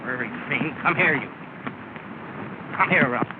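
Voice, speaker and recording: An actor playing a jealous, sulky well-off suitor in a 1930s film, with a clipped, haughty manner. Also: male voice, on the high side, unhurried, narrow, tinny audio, lightly acted. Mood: irritated, haughty. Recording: scratchy, thin, noisy 1930 film soundtrack.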